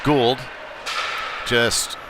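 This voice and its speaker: A man giving live play-by-play commentary on ice hockey in a halting way, with frequent pauses. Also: mid-range voice, flat delivery. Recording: noisy live rink broadcast.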